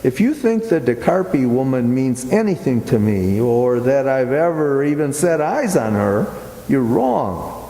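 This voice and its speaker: An actor playing an uneasy, imaginative man in a radio play, speaking haltingly in an echoey hall. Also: mid-range voice, very animated, mellow. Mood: defensive. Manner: hesitant, halting, musing. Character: uneasy, imaginative man sightseeing at dusk with his sweetheart. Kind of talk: amateur radio play acting. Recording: echoey hall with long reverb.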